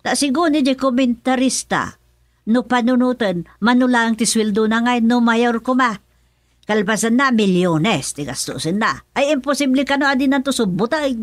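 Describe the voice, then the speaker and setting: A woman's voice: fairly high pitch, expressive, normal speed, no room sound, close to an everyday voice. An actress playing a worried, nagging middle-aged mother in a radio serial, emphatic, with an Ilocano accent. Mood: cautioning. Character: worried, nagging mother, older than her son. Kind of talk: radio serial drama acting.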